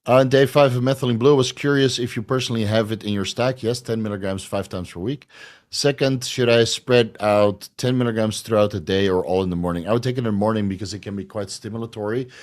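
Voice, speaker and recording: A man hosting a casual question-and-answer show, blunt and profane, his voice going hoarse. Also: on the low side, fast talker. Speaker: podcast host answering viewer questions. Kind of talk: casual live Q&A.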